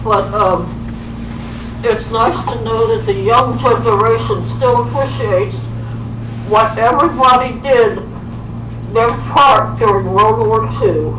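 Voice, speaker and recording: A woman's voice: on the high side, slow, echoey room, far from the mic, noisy background. An older woman chatting about the program in a self-deprecating way.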